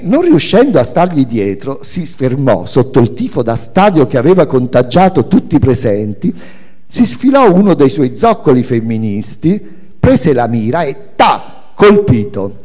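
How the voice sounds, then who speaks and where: A man giving an expressive, dramatic literary reading, telling a story as a narrator. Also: mid-range voice. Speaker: reader of a memoir. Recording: reverberant hall sound.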